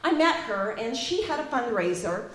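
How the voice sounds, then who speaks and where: A woman tells a meandering story at a church gathering and introduces the next speaker. Her voice sits a bit high. The room around her is strongly echoey. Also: very animated, normal speed, a bit bright. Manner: chatty, rambling storyteller. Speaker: event organizer introducing a guest. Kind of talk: casual church talk.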